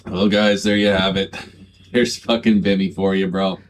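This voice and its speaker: A man's voice: sits low, level tone, normal speed, slight background noise. A man doing casual vlog commentary, swearing a lot and tacking "bro" and "dude" onto his lines. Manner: profane, slangy, keeps adding "bro" and "dude". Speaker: online video creator.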